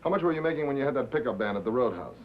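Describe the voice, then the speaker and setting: A man's voice: medium pitch, expressive, very fast, natural voice. A man playing a dogged, guarded American radio reporter in 1950s film drama style, terse and dryly understated. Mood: probing. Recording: muffled, faintly noisy vintage film soundtrack.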